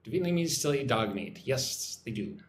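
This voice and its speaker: An American man runs a relaxed English lesson on a livestream, reading out viewer comments and chatting back by name. His voice rises and falls in an animated way. The room has a noticeable echo with a faint hiss underneath. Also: medium pitch, normal speed. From United States. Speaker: English teacher. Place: home in Vietnam.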